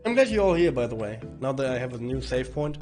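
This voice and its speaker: A man with a hoarse, rough voice from bronchitis, chatting casually and rambling to stream viewers while playing a game. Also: medium pitch, very animated, quick pace, a little mellow. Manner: rambling, chatty, swearing, with frequent restarts. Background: faint game music.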